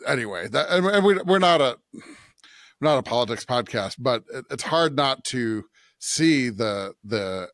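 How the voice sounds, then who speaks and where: An American man co-hosting a conversational religious podcast, thinking aloud in a halting way, often rephrasing himself and checking in with his listener. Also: medium pitch, expressive, a bit bright, no echo, clean studio sound. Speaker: podcast co-host, preacher.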